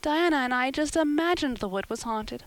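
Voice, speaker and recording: Woman voicing an earnest, dramatic, high-pitched young girl. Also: moderate pace, dry recording, natural voice. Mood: dramatic, fearful. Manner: gushing, wordy, romantic and pleading.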